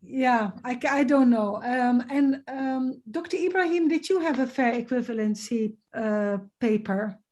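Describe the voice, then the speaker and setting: A woman's voice: on the high side, unhurried, few pauses, a little mellow. A woman chairing a webinar discussion on a video call, hesitant with many fillers but joking lightly. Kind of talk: casual meeting discussion.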